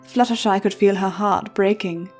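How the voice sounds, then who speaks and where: A woman's voice: medium pitch, steady tone, normal speed. Woman, storyteller, scripted audiobook narration, mid-range voice, background music.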